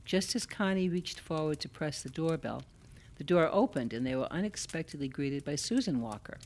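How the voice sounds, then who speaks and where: A woman giving measured, scripted literary narration for an audio play. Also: sits low, animated, darkish tone.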